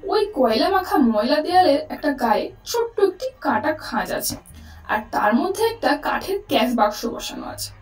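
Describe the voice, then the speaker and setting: A woman's voice: sits high, sing-song, moderate pace, reverberant, recorded from a distance, soft background music, low-quality recording. A woman narrating a scripted story expressively, as a storyteller.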